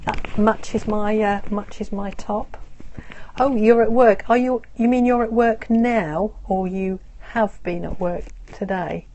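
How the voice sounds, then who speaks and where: A woman's voice: mid-range voice, fairly even intonation, dark tone, poor audio. Patient woman teaching English. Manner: patient, slow explanations with many ums. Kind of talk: live-stream English lesson. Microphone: phone or computer on a live stream.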